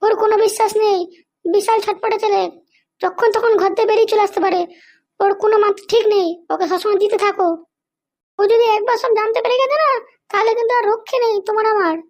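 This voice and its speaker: A scheming madam from a comedy cartoon, voiced by an actor, squeaky and extremely high like a child's voice. The talk is quick, bossy and threatening, full of ruthless self-justifying ambition. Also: fairly even intonation. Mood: conspiring, confident. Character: scheming, power-hungry madam, ruthless mother seeking political power.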